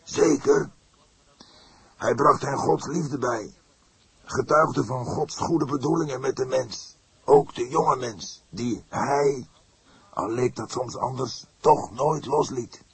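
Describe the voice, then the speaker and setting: A man's voice: mid-range voice, slow, creaky, voice pushed up. A man voicing an indignant, solemn father of the church in an audiobook, speaking with emphatic, formal deliberation. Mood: pained, earnest. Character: fierce, pained elderly pastor, indignant and solemn. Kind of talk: audiobook character voice.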